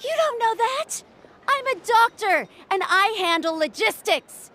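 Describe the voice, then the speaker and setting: Fussy young sister, game character, very high voice, animated, scolding, emphatic, exasperated. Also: female voice, a little slow, heavy background noise. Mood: indignant. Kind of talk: video game voice acting.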